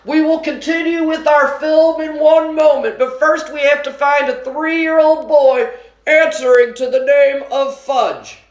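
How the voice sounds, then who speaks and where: A woman's voice: high voice, steady tone, unhurried, roomy sound, dull audio, pitched above the performer's own voice. A woman reading as a formal male cinema manager, projecting as if announcing to a crowd. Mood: official, announcing. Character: formal, loud cinema manager, man in suit.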